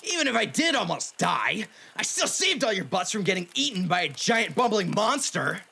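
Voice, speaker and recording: An actor playing a brash, boastful young half-demon man with a gruff, defensive manner in a fan audio drama. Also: high-pitched, very animated, normal speed, pushed and bright, slight background noise, full character voice. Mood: indignant. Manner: cocky, defensive, gruff bragging.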